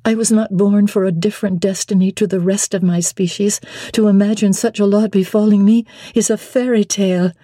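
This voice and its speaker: A woman's voice: medium pitch, steady tone, a little fast, dark tone, no room sound, clean studio sound, natural voice. Woman playing a shy, earnest young governess. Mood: doubting, earnest. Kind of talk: dramatic classic novel reading.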